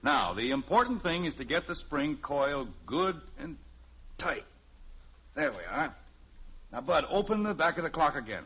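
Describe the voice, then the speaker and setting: A man's voice: fairly high pitch, big pitch swings, moderate pace, pushed and bright, husky, full character voice. An actor playing a stubborn, testy family father with a sardonic streak in a 1950s radio sitcom. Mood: concentrating. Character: stubborn, easily exasperated middle-class family father. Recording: dull, noisy old radio recording.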